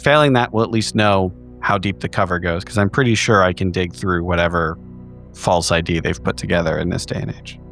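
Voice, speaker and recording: Low-voiced man talking through a plan. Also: fairly even intonation, fairly quick, fairly bright tone, faint music behind. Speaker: player. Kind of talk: casual tabletop game talk.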